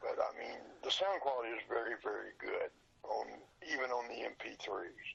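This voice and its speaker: An older man with a hoarse, breaking voice, talking earnestly and haltingly as a guest in a casual interview. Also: mid-range voice, a bit bright, dull audio. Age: older, 60s or above. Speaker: podcast guest, radio show collector.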